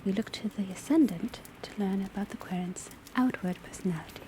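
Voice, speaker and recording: A woman playing a patient, scholarly witch school professor in a soft, breathy ASMR whisper, lecturing in a measured, precise way. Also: medium pitch, natural voice. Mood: attentive, explanatory. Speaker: teacher in a classroom roleplay. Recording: soft steady background ambience noise. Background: crackling fireplace, pencil writing, rain on rooftop.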